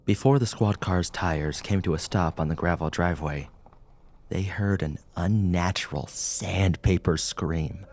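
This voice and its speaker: A man reading a scripted horror story as an audiobook narrator, in a measured, dramatic way. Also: sits low, darkish tone.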